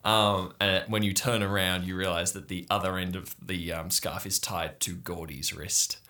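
A man's voice: low-pitched, fairly even intonation, moderate pace, bright, forceful tone. A man working as game master, improvising narration for a tabletop game in a wry, playful storytelling manner.

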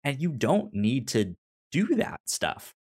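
A man with a stutter chatting casually as a review podcast co-host, in a halting, informal way. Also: medium pitch, very animated, a little mellow, husky. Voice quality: stutter, repeating sounds and words.

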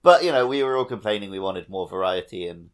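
A man's voice: deep voice, animated, quick pace, clear, resonant tone. A man co-hosting a podcast, chatting casually about a video game with wry, joking asides. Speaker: podcast co-host.

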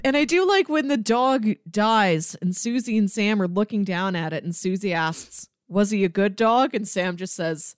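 A woman's voice: medium pitch, lively intonation, moderate pace, hardly any pauses, smooth, no echo. Woman chatting casually, close dry sound. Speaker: film podcast co-host. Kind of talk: casual film discussion.

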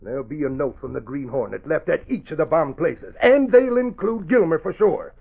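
An actor playing an angry, scheming crooked politician and crime boss in a 1940s radio drama, blustering and commanding, with a gravelly voice. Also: male voice, on the high side, animated, normal speed, exaggerated voice. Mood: commanding, urgent. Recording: muffled old radio recording.

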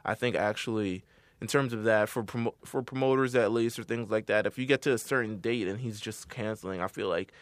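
A man's voice: lowish voice, a little fast, no echo. A man co-hosting a podcast in casual chat, laid-back and rambling, swearing freely. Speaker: podcast co-host.

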